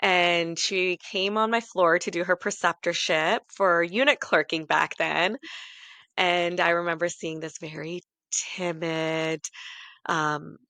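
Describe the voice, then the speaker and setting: A woman in her late thirties, a podcast co-host, talks in a loose, rambling way and often laughs at herself. Her voice sits a little low and has a gravelly edge. The sound is close and dry, with no room in it. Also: steady tone, slow, sharp tone, no echo. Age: 30s. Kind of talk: casual chat.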